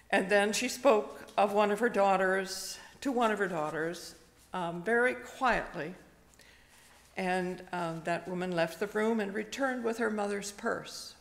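An older woman, a retired pastor, preaches slowly and gently, telling a personal story with pauses, at a pulpit microphone in a church. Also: on the low side, creaky. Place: church sanctuary, indoors. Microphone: stand microphone at a pulpit. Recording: long reverb of a church hall.

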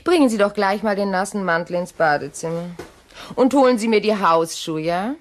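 An actress playing a brisk, bossy new widow with a dry, irreverent manner in a 1950s radio drama. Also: female voice, mid-range voice, lively intonation, close to an everyday voice. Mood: brisk, irritated. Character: newly widowed shop owner, brisk, cool, irreverent lady. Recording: faintly noisy 1958 radio recording.

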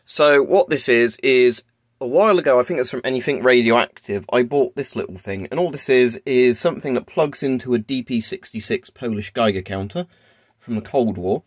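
A man's voice: mid-range voice, normal speed, no echo, studio quality. A man talking casually and off the cuff as he demonstrates a gadget on camera in a room at home. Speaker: video creator demonstrating gadgets. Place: small carpeted room at home, indoors. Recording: clear background noise, clicking device. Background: Geiger counter clicks and crackle.

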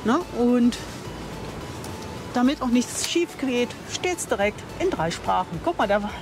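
A woman's voice: fairly high pitch, expressive, unhurried, over music. An older woman giving a chatty, enthusiastic on-camera tour of a campsite outdoors, thinking aloud and correcting herself as she goes. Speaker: vlogger touring a campsite. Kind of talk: casual on-camera tour commentary.

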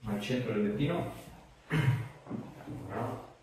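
Man, 30s, chiropractor; deepish voice, brief informal instructions, very reverberant treatment room. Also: expressive, slow, darkish tone. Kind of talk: giving instructions and casual explanations to a patient. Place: small indoor treatment room. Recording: very echoey small room.

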